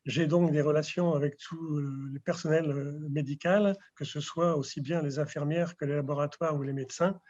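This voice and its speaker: A man in his sixties or older giving halting interview testimony as a local official and longtime pharmacist. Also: a little high, steady tone, darkish tone, dry recording, muffled recording. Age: 60s or older.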